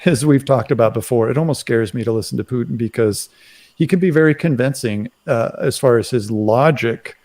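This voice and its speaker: A man in a casual current affairs discussion, speaking haltingly and often tagging his phrases with "right". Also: mid-range voice, darkish tone. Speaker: commentator on a live talk show.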